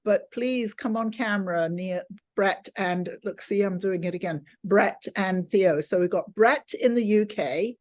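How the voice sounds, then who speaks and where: A woman's voice: medium pitch, unhurried. A woman with an effusive, exclamatory manner, teaching an informal online class over a video call. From England. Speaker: teacher of a film finance class.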